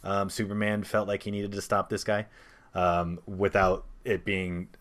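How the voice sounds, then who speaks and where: Deep-voiced man hosting a casual podcast. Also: completely flat delivery, a little slow, fairly bright tone. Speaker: podcast host. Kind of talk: casual movie discussion podcast.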